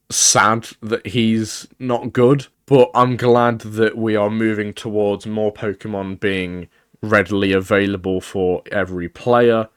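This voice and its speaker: A young man with a croaky voice from a cold, giving casual, opinionated gaming commentary. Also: lowish voice, dry recording, studio quality. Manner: chatty, opinionated, thinking aloud. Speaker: gaming video creator. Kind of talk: casual tier-list commentary.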